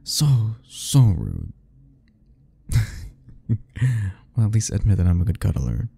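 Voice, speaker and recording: A man's voice: on the low side, wildly swooping pitch, slow pace, vocal fry, dark tone, husky. A young man speaking a playful, teasing ASMR roleplay straight to the listener, laughing often between lines.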